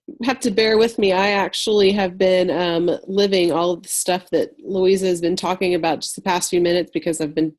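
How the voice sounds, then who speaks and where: A woman's voice: lowish voice, fairly even intonation, brisk, a little mellow. A woman in her thirties with a head cold and a slightly nasal voice, presenting an online wellness class in a chatty way with many fillers.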